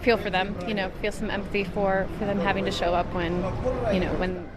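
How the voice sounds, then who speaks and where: Hesitant woman interviewed over loud traffic noise. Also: medium pitch, flat delivery, fairly quick. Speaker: traveler giving a vox pop. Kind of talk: unscripted news interview.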